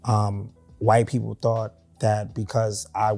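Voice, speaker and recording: A man's voice: lowish voice, completely flat delivery, takes it slowly, darkish tone, faint music behind. A young man from Brooklyn with a heavy New York accent, talking casually as a panelist and often checking in with "right?". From Canarsie, Brooklyn, New York. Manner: conversational, frequent "right?" check-ins. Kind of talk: casual discussion.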